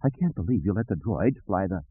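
A man voicing a sharp-tongued, exasperated young woman rebel with a sardonic, scolding manner in an audiobook. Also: sits low, big pitch swings, fairly quick, breathy edge, narrow, tinny audio, full character voice. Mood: incredulous.

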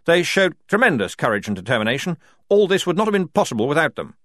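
An honourable, prim gentleman lieutenant, performed by a male narrator for a storybook. He speaks with earnest indignation in a raised, animated pitch. The sound is dry and close. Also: fast talker, dry recording. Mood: earnest, indignant.

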